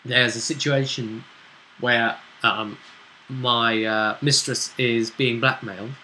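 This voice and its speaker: British male game master, forceful bright tone, halting casual recap, faint noise behind. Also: mid-range voice, fairly even intonation, rough recording. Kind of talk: casual recap of a tabletop role-playing game.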